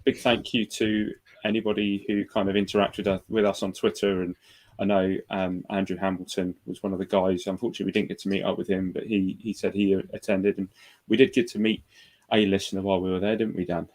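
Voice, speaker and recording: A man's voice: sits low, little pitch movement, a little fast, a little mellow. A man on a podcast, easygoing and rambling in casual chat. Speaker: podcast producer.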